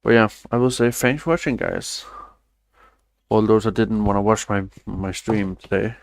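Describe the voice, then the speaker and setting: A man's voice: fairly low pitch, animated, normal speed, vocal fry, no echo. A young man, chatting loosely on a stream, rambling and swearing casually with self-deprecating asides. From Denmark. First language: Danish.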